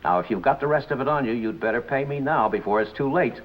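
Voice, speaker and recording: An actor playing an older, scheming blackmailing boss in a 1950s film noir, speaking curtly and with menace. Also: male voice, mid-range voice, animated, quick pace, close to an everyday voice. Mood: menacing. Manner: curt, menacing. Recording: muffled old film soundtrack, faint noise.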